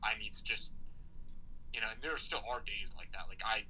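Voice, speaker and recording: Young American male podcast guest, rambling and informal, sharp forward tone, faint noise behind. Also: fairly low pitch, moderate pace, poor audio. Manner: rambling, informal, frequent "like" and swearing. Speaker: podcast guest, BMX rider. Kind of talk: casual conversational interview.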